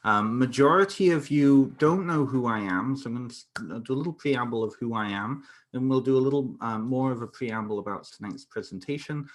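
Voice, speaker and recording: A man's voice: mid-range voice, fairly quick. A young British man from North Wales, a counsellor giving an online presentation, talking with many fillers and restarts. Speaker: counsellor presenting a folklore talk. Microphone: computer mic on a video call.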